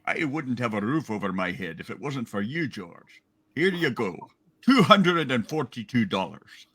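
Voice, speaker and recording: A man playing excitable, grateful small-town folk in a hammed-up amateur Christmas radio play, speaking theatrically. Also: medium pitch, normal speed, husky, voice pushed down. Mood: warm, hearty.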